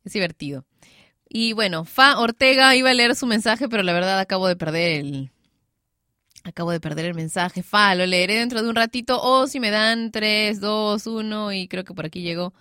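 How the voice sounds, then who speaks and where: A woman's voice: medium pitch, normal speed, bright, forceful tone, clear, resonant tone, no echo, studio quality. A woman hosting radio, chatting casually and giggling as she reads out listener messages. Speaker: radio host. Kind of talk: casual live chat reading listener messages.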